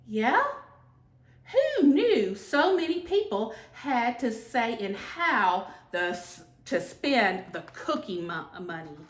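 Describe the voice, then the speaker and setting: Woman reading as an eager, animated young schoolgirl. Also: a little high, slow. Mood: grumbling. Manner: expressive storybook dialogue, emphatic. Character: young schoolgirl, eager, thoughtful, a bit outspoken. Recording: echoey room.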